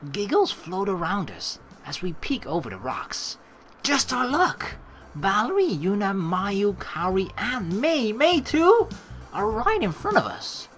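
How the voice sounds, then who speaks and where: Low-pitched woman, expressive delivery, let's play narration and casual asides, faint game music behind. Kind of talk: reading game narration aloud with casual commentary.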